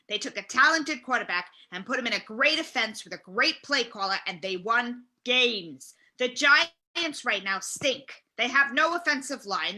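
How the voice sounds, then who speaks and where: Woman playing an exasperated, sharp-voiced male sports radio host. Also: on the high side. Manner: badgering, repeating questions insistently. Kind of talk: table read of sports talk radio.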